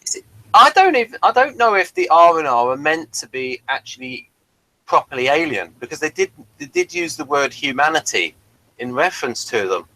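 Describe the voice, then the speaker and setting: A man hosting a casual TV review as a keen fan, speaking in a halting way with restarts. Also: a little high, big pitch swings, pushed and bright, low-quality recording. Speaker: host of a TV review show.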